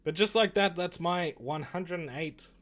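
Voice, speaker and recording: A man streaming and chatting casually about games, rambling and correcting himself, with his voice going hoarse. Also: sits high, moderate pace. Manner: rambling, self-correcting, lively. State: losing his voice. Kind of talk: casual livestream chat reviewing games.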